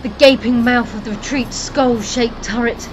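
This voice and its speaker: Woman acting high-voiced, shaken young vampire hunter. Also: fairly even intonation, faint music behind, close to an everyday voice. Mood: tense narration. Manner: halting, distraught, dramatic. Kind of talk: fan audio drama acting.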